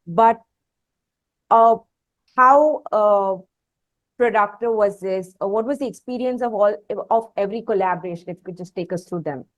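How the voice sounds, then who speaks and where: A woman's voice: mid-range voice, moderate pace, no room sound, dull audio. A woman asking questions conversationally as an interviewer, in wordy, slightly formal phrasing.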